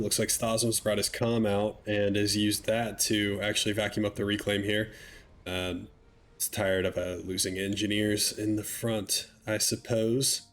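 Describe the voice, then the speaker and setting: A man with a stuffy, slightly nasal voice casting a strategy game match with relaxed, chatty commentary and wry asides. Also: lowish voice, a little hiss or hum. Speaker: game caster.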